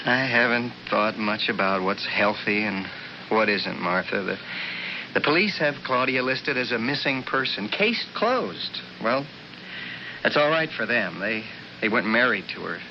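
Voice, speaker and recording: A man's voice: fairly low pitch, lively intonation, unhurried. An actor playing a troubled, obsessive man grieving his missing wife, hesitant and earnest, in 1970s radio drama style. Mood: anguished, insistent. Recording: dull, noisy vintage radio recording.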